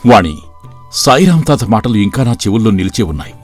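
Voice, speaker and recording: A man playing an earnest, resolute young village idealist in a moral story, speaking deliberately and persuasively. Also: lowish voice, very animated. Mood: thoughtful. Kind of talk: acted dialogue in a moral story. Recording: music bed under the voice.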